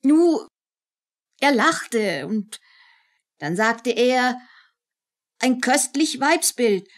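A woman's voice: fairly high pitch, animated, drawn-out words. A woman playing an indifferent, sleepy soldier comrade in a radio play, curt and offhand. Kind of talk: German radio play acting.

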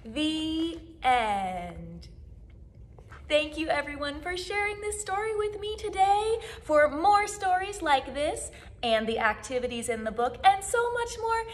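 A woman in her twenties, a children's music educator, reading a story aloud to young children with animated, sing-song delivery and drawn-out words. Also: sits high. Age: 20s. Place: small plain indoor room, seated.

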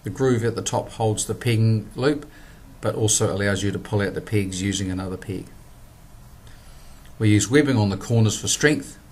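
A man, presenting a product in a measured, scripted voice-over. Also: fairly low pitch, slight background noise. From New Zealand. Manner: measured, plain instructional delivery.

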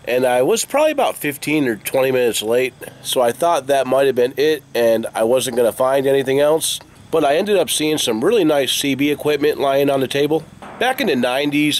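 An American man in his forties talking casually to the camera in a plainspoken, matter-of-fact way, as a reseller vlogger. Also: mid-range voice. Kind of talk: casual vlog talk to camera. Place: open garage doorway, outdoors. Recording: clear outdoor background noise.

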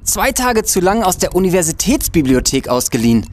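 An actor playing a young student detective, a guileless, dutiful sidekick, in an audio drama. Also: male voice, sits high, very animated, fairly quick, slight background noise. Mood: proudly revealing. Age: young adult. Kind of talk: German audio drama acting.

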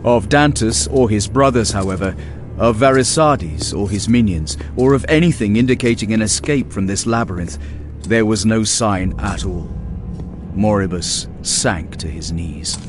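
A male audiobook narrator with a low voice tells a fantasy tale. He is grave and portentous, reading dramatically over prominent music. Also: measured pace.

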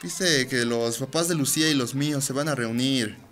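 A woman's voice: rumbling low, normal speed, fairly bright tone, slight background noise. A woman acting a sullen, uneasy and defensive teenage boy in a radio drama.

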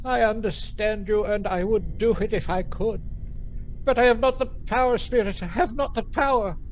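An actor playing a frightened, pleading old miser in a radio drama, with an anguished, beseeching delivery. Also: male voice, sits high, moderate pace, a little hiss or hum, natural voice, low-quality recording. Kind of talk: theatrical radio drama acting.

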